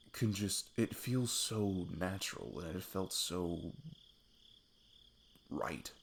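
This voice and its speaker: Man acting a low, gravelly, tender best friend. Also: slight background noise, exaggerated voice. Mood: tender, wistful. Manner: halting, self-deprecating, chuckling rambler. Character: sweet, nervous male best friend confessing his love. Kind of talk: intimate ASMR roleplay monologue.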